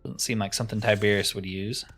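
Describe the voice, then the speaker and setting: A man's voice: lowish voice, flat delivery, fast, fairly bright tone, soft background music. A man giving casual, informal let's play commentary on a roleplaying video game, thinking aloud as he plays.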